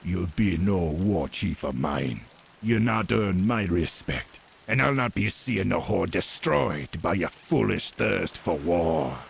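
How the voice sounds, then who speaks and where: A grave troll leader in a video game cinematic with a Caribbean accent. The voice is very low, creaky and deliberate, coldly defiant and ominous. A faint rainy noise sits behind it. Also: male voice, animated, full character voice. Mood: stern defiance.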